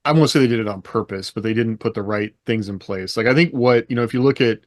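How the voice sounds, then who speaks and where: A man's voice: medium pitch, fast talker, no echo, clean studio sound. A man co-hosting a podcast in casual panel discussion, restarting his sentences to qualify his points. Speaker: podcast co-host.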